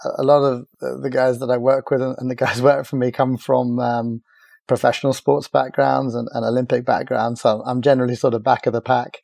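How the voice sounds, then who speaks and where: A middle-aged man, chatting as a podcast guest in a relaxed, halting way full of restarts and fillers. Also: mid-range voice, creaky, no room sound, clean studio sound. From Ireland. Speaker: podcast guest, agency founder. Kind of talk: casual interview chat.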